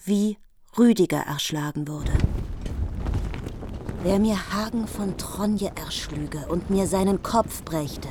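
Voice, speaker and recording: A woman's voice: medium pitch, noisy background, natural voice. An actress playing a grieving queen in a radio play who urgently demands a knight's loyalty. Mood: commanding. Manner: urgent, imploring. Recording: dry studio.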